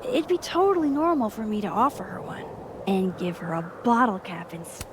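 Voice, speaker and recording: A woman's voice: medium pitch, very animated, brisk, noisy background, close to an everyday voice. A woman playing a wry, playful young woman in a naturalistic video game, casual and teasing. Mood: scheming, casual.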